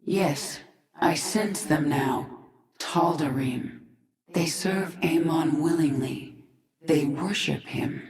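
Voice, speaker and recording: A woman's voice: low voice, slow pace, a little mellow. A woman playing a grim, vengeful queen of an alien swarm in a video game cutscene, terse and intense. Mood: brooding, uneasy. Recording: roomy echo with game music behind.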